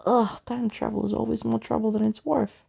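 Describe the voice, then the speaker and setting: A woman's voice: on the high side, little pitch movement, fairly quick, gravelly, mellow, husky, heavily acted. A woman voicing a fierce, scornful girl in a children's storybook, snapping impatiently and hurling insults. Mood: angry, threatening.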